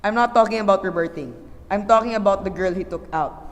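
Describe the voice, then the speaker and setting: A woman's voice: mid-range voice, quick pace, lightly acted. A woman voicing a stern, old-fashioned Filipino father in a radio play, lecturing emphatically with a Filipino accent and easily exasperated. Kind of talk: amateur English radio play acting. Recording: reverberant hall-like echo.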